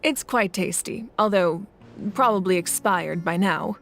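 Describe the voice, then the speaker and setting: A woman voicing an adult collector in a video game, telling old stories in a measured, reflective way. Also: lowish voice. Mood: calm, reflective. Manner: measured, reflective storytelling with soft laughs. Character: adult woman collector, calm and thoughtful storyteller. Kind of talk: video game cutscene dialogue. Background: game music.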